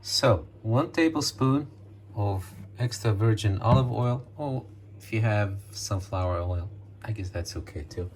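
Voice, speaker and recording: A deep-voiced man walks viewers through a recipe in a relaxed home-kitchen chat. His English comes with frequent ums and self-checks as he searches for words. A faint background noise sits under the voice. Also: measured pace. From Morocco. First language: Amazigh. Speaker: cooking video host. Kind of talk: casual cooking demonstration.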